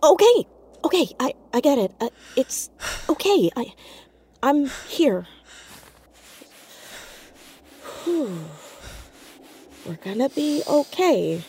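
An actress playing an earnest, caring teenage girl in an audio drama, speaking in a hesitant, halting, naturalistic way. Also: high voice, big pitch swings, a little mellow, slight background noise, heavily acted. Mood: nervous, reassuring. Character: earnest, awkward, caring teenage girl amateur sleuth, hiding in fear.

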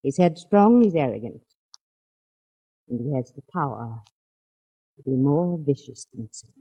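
An actor playing an earnest doctor and family friend who speaks carefully and gravely in 1940s radio drama. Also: male voice, mid-range voice, animated, measured pace, dark tone. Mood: grave warning. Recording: muffled old 1940s radio recording.

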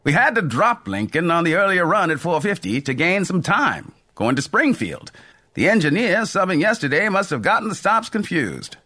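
A man's voice: high voice, sing-song, normal speed, pushed and bright, voice pushed up, heavily acted. A man voicing a cheerful, chatty old male train conductor with a folksy, teasing manner. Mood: jovial.

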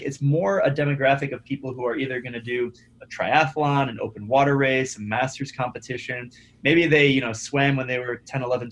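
A young man, a startup founder, talking casually as a podcast guest, energetic and often repeating his first words. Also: medium pitch, a little hiss or hum. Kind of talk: conversational podcast interview.

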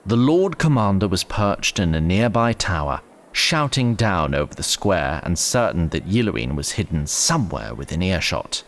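A man's voice: low-pitched, very animated, normal speed. A man playing a wry, theatrical narrator of a fantasy audio drama, formal and dramatic in his phrasing.

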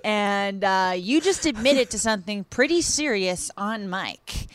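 A woman in her thirties co-hosting a podcast in casual chat, hoarse today, joking and laughing often. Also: medium pitch, expressive, a little slow. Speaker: podcast co-host.

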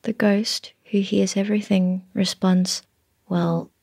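A woman reading her own literary story in a measured way, often dropping to a breathy near-whisper. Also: medium pitch, flat delivery, mellow, no echo.

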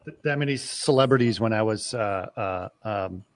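A man's voice: lowish voice, moderate pace, no room sound. A man from Greece co-hosting a casual talk show, telling stories in everyday conversation. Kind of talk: casual chat, telling anecdotes.

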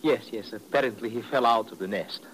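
An actor playing a fussy, nervous, over-polite gentleman in a 1950s radio drama, with a flustered, mannered delivery. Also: on the low side, a little fast, lightly acted. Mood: ingratiating, amused. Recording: noisy vintage 1950s radio broadcast.

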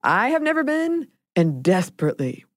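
A woman co-hosting a podcast in casual, chatty conversation, enthusiastic and quick to laugh. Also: medium pitch, huge pitch swings, dry recording, studio quality. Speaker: podcast co-host.

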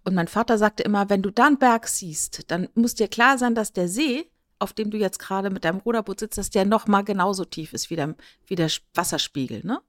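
Female podcast co-host, medium pitch, conversational delivery, close dry sound. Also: a little fast, fairly bright tone, dry recording. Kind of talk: casual podcast chat.

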